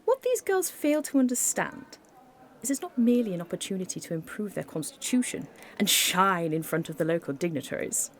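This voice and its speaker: A woman's voice: medium pitch, animated, a little fast, lightly acted. A woman playing a pompous, exacting 1940s village dance organiser in a theatrical, emphatic radio play monologue. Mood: haughty, indignant.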